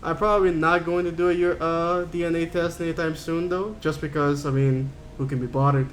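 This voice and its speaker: Man, from Curaçao, casual gaming streamer, rambling with fillers, slightly high pitch, faint background noise. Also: flat delivery, fairly quick. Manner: rambling, answering chat with fillers. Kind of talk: casual stream chat while playing.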